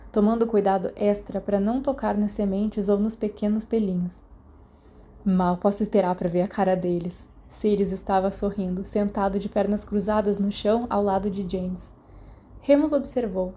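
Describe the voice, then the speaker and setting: A woman's voice: medium pitch, mellow. A woman with a Brazilian accent reading a story aloud as a podfic narrator. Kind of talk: scripted audiobook-style narration.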